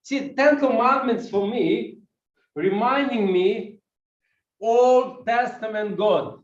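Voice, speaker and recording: A man's voice: sits high, slow, highs cut off. Ukrainian accent guy preaching, walks around, keeps asking the church questions, kinda far off and echoey. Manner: walks while preaching, asks the congregation questions. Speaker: guest pastor. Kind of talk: sermon with audience questions. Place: church hall.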